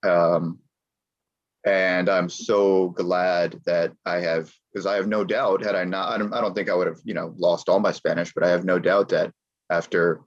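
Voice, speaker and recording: An American man with a very deep voice talks as an interview guest. He speaks emphatically and circles back to repeat his points. The sound is muffled and lo-fi. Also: flat delivery, moderate pace. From United States. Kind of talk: casual interview chat.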